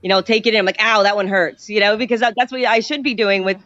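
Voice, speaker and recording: A woman's voice: mid-range voice, steady tone, quick pace, a bit bright, dry recording. A woman talking casually and reflectively on an online group call. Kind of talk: casual group livestream chat. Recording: dull, lo-fi online call audio.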